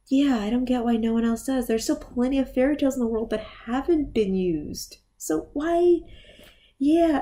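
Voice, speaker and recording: A woman with a fairly high but mellow voice talks through a movie review. She is casual and opinionated, speaking bluntly with an exasperated edge. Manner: exasperated, blunt, with sighs and groans.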